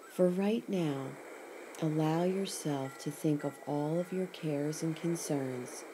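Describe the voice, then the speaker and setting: A woman narrating a scripted guided imagery meditation in a soothing, measured way, leaving pauses between short phrases. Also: low voice. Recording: clearly noisy recording with ambient sound. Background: ocean sounds.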